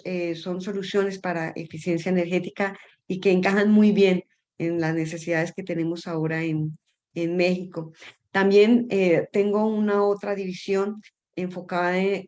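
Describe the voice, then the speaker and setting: A middle-aged woman with a fairly low voice speaks as a guest in an interview. Her talk is casual and halting, with repeated words as she looks for the right one. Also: darkish tone. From Colombia. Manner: hesitant, searching for words, repeats words. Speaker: interview guest, energy company founder.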